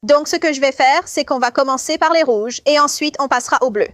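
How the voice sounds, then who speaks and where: A woman's voice: high voice, fairly quick, fairly bright tone, dry recording. A woman voicing an obliging bridal shop consultant guiding clients in a dubbed reality show. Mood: helpful. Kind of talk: dubbed reality show, customer service talk. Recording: faint music under the voice.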